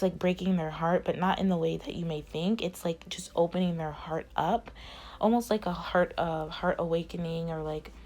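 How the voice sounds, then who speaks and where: A woman's voice: lowish voice, a little mellow. A woman giving a casual tarot reading, rambling with many fillers while losing her voice. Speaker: tarot reader.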